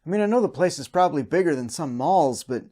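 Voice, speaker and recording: Man, somewhat raised pitch, recap show host, scripted comedic narration. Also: animated, fast, dark tone, breathy edge.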